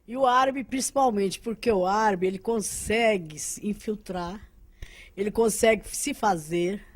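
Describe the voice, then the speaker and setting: A woman's voice: medium pitch, darkish tone. A woman whose first language is Arabic, giving personal recollections as an interview guest, earnest with hesitant restarts.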